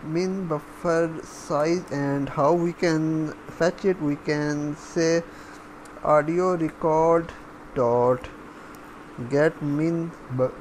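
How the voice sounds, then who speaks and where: A man giving a step-by-step coding tutorial, halting as he thinks aloud while typing. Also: fairly high pitch, fairly even intonation, a little mellow, low-quality recording. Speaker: programming tutorial instructor. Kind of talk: step-by-step coding screencast narration. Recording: faint background noise.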